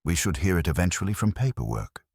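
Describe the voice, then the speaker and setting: A man's voice: sits low, sing-song, fast talker, gravelly, darkish tone, breathy edge, dry recording, clean studio sound, heavily acted. A man voicing an agreeable, dutiful junior policeman who reports drolly and matter-of-factly in a mystery story. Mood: dutiful, droll.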